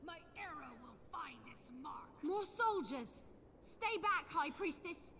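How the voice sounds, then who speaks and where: An actress playing a fierce fantasy fighter calling out in video game combat. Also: female voice, very high-pitched, animated, slow, bright, forceful tone, exaggerated voice. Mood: urgent, fierce. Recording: reverberant hall with noisy ambience.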